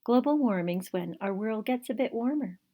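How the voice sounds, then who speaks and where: Woman reading as storybook librarian, informative, measured, instructive. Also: fairly high pitch, expressive, dark tone. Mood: informing. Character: informative librarian explaining science to a girl. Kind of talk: picture book character voice.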